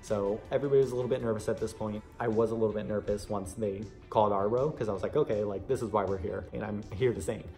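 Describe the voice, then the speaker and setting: A man talks quickly in a casual vlog style, recounting his own experience. His voice sits a little on the low side, and his delivery is chatty and conversational, with music playing behind him. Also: steady tone, warm tone. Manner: chatty, frequent "like" asides. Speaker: vlogger recounting an audition. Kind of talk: casual first-person vlog storytelling.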